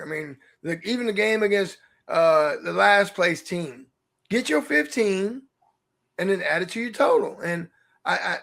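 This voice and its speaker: A man who coaches high school basketball, talking through rules in a thoughtful, searching way on a casual podcast. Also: fairly bright tone. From Oklahoma. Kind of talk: casual sports chat.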